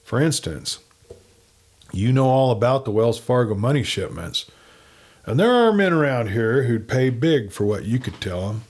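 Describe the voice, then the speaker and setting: A man reading aloud takes on a tough western lawman from a storybook. He is shrewd and unshaken, and gives orders bluntly in a mid-pitched voice. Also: very animated, moderate pace, a little mellow. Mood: hard, steady. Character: shrewd, hard, steady male lawman in a western.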